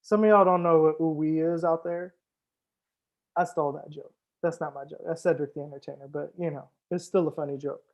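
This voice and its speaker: Man, high-pitched, sommelier host, relaxed live-stream banter, jokey, casual swearing. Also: brisk. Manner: laid-back, joking, swears casually; chats with viewers, answers comments.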